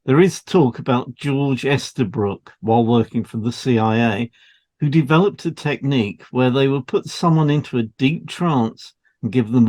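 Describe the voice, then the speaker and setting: A man with a medium-pitched voice co-hosts an educational podcast. He reads prepared material in an explanatory way, as one teaching a subject to trainees. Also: a little mellow. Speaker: podcast co-host, hypnotherapist. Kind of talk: scripted educational podcast read aloud.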